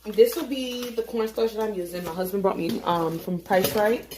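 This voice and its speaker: A woman's voice: mid-range voice, steady tone, moderate pace, background noise. Chatty woman cooking in an echoey kitchen. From Haitian family. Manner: chatty, joking, swears casually, sings snatches. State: tired, late at night. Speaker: home cook vlogger. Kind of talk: casual cooking vlog chat. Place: home kitchen.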